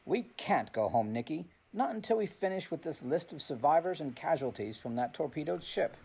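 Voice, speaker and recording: A man's voice: fairly high pitch, animated, brisk, gravelly, darkish tone, husky, full character voice. A man playing a fatherly police inspector in an old-time radio drama, incredulous and emphatic. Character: questioning police inspector, father of the young detective. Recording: noisy amateur radio play recording.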